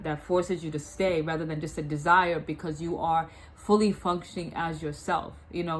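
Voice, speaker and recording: A woman's voice: lowish voice, a little hiss or hum. A woman with a cold and a blocked nose, giving a tarot reading. She often repeats and restarts her words and keeps checking whether the listener understands. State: stuffed up from a cold. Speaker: tarot reader.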